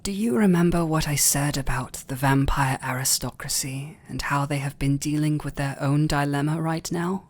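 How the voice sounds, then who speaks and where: A man playing a noble, restrained vampire lord in an intimate ASMR roleplay, with a British accent and formal, hesitant, breathy delivery. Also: fairly high pitch, normal speed, few pauses, lightly acted. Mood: grave, explaining. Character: noble vampire lord, restrained, tormented, tender toward servant.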